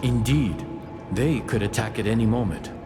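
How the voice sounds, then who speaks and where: A man's voice: fairly low pitch, normal speed, music in the background, close to an everyday voice. A man playing a grave, bitter spirit companion in a fantasy video game, solemn and scornful. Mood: watchful. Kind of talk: video game cutscene acting.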